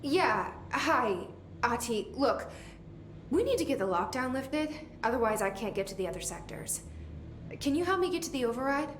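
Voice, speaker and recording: An actress playing a determined young woman, newly made director, who speaks in a calm, dry and understated way. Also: high-pitched, normal speed, a little hiss or hum.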